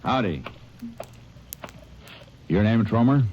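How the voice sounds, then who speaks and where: An actor playing a stern, dogged Texas Ranger in a 1950s radio drama, speaking in clipped, authoritative lines. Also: male voice, on the low side, lively intonation, slow, very noisy. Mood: hard, pressing. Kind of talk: 1950s radio drama acting and first-person narration. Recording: muffled vintage radio recording with music.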